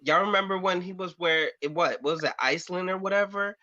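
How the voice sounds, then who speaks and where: A man's voice: high-pitched, a little fast, sharp tone, dry recording, dull audio. A man chatting casually as a panel host, with a sassy, blunt, slangy manner. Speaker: host on a pop culture panel show. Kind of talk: casual chat.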